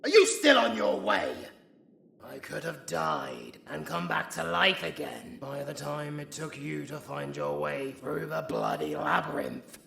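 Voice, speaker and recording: Casting: male actor as undead British necromancer villain for audio roleplay; sardonic, flirtatious, gravelly, with wildly swooping theatrical delivery over faint background noise. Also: a little high, big pitch swings, bright, forceful tone, roomy sound, heavily acted. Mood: exasperated. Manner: theatrical, sarcastic, swearing, drawn-out delivery. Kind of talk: intimate audio roleplay acting, addressed to the listener.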